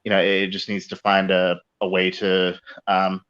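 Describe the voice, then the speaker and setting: Deep, flat-toned, halting man. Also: smooth. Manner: hesitant, halting, searching for words. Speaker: podcast guest. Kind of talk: casual discussion. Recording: dull lo-fi connection.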